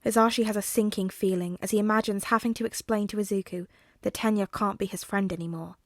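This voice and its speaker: A woman narrates a piece of fiction from a script. Her pitch is average for a woman. The recording is very dry, with little room sound. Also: steady tone, fast, no room sound.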